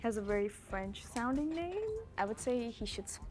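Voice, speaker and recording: A woman's voice: on the high side, animated, normal speed, a little mellow. A woman in her twenties speaking earnestly and upfront in a group chat. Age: 20s.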